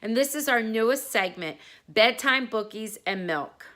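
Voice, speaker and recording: A woman's voice: on the high side, animated, unhurried, pushed and bright. A woman hosting a children's bedtime story segment, talking to young listeners in a simple, friendly way and reading aloud. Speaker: children's storytime host and reader.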